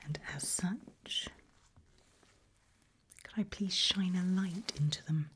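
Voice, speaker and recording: A woman softly whispering a medical exam roleplay in ASMR style, giving gentle, deliberate instructions with pauses. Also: fairly low pitch, slight background noise. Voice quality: soft breathy whisper. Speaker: ASMR performer playing an examiner.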